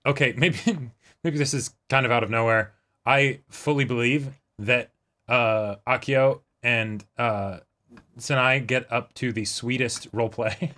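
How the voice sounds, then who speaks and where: Male reaction co-host, crisp bright tone, mid-range pitch, playful interjections and casual acted-out bits. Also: steady tone, measured pace. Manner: playful, interjecting, acts out imagined dialogue. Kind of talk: casual banter about an anime episode.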